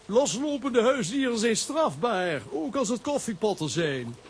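An actor playing a pompous, bossy husband who scolds, in a children's radio play. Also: male voice, very high-pitched, big pitch swings, a little slow, a little hiss or hum, heavily acted. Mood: stern.

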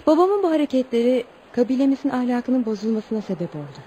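Wistful young tribeswoman, high voice, formal storytelling delivery, radio play with light background noise. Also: big pitch swings, fast, warm tone. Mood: troubled, earnest. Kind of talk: radio theatre acting.

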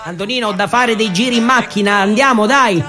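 A man's voice: high-pitched, a little fast, sharp tone. A man narrating radio theatre in an irreverent, emphatic way, full of rhetorical questions. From Abruzzo, Italy. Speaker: solo narrator and actor. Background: music.

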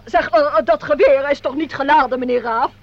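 An anxious, chattering office worker in a radio comedy. Her voice is high and exclamatory with a tart edge. The sound is dull and lo-fi. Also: fairly quick. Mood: fluttery, anxious. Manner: fluttery, exclamatory. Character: nervous, chattery, tart female office invoice clerk. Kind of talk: Dutch radio play acting.

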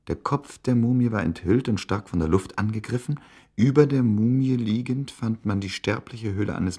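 Deep, husky-voiced scholarly doctor. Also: male voice, a little mellow. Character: shaken British scholar, a serious, courteous male doctor. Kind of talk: German radio drama acting.

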